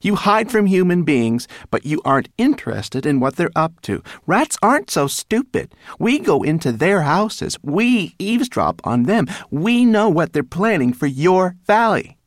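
A man voicing a small female rat in a storybook, shrill, sarcastic and scolding. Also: sing-song, moderate pace, dry recording, clean studio sound. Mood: cross, lecturing. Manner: emphatic, scolding.

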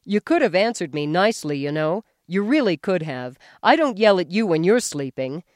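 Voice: mid-range voice, sing-song, slow, dry recording, clean studio sound. A reader voicing a demanding, hysterical middle-aged husband who whines and accuses. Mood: shrill complaint. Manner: complaining, accusing, rising to yells.

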